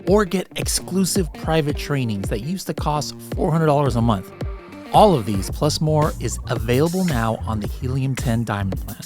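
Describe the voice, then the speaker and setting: A man's voice: mid-range voice, animated, moderate pace. An upbeat man hosts a podcast, moving from a promotional read into a casual chat with a guest. Faint music plays underneath his voice. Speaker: podcast host. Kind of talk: scripted intro then casual interview.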